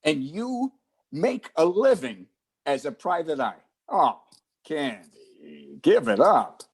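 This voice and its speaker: A man's voice: a little high, very animated, takes it slowly, slightly rough, exaggerated voice. A man playing a wry, patronizing police lieutenant. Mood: teasing. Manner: teasing and condescending. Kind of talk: 1940s radio acting.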